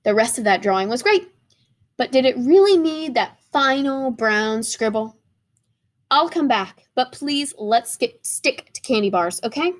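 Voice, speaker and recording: A woman's voice: a little high, expressive, unhurried. Lady doing an embarrassed crayon, wry and sulky, pretty normal voice. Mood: sheepish. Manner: wry, confiding, sheepish.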